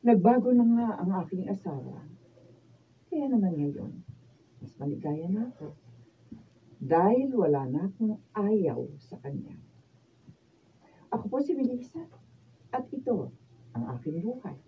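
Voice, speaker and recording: A woman's voice: medium pitch, big pitch swings, measured pace, pauses often. An actress with a Filipino accent playing a tender, emotional wife and mother in a radio drama. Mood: contented narration. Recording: tinny, noisy studio camera recording.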